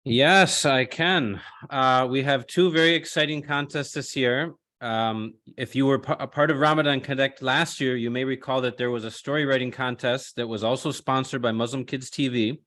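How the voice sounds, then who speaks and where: A man's voice: mid-range voice, fairly even intonation, normal speed, hardly any pauses, a bit bright. A man co-hosting an online event, giving announcements over a video call.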